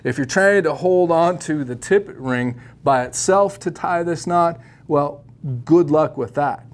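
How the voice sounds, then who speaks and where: A man's voice: fairly high pitch, measured pace. A middle-aged man giving a casual, opinionated fly fishing tutorial to camera in a shop studio, with plenty of ums and uhs, on a lapel mic.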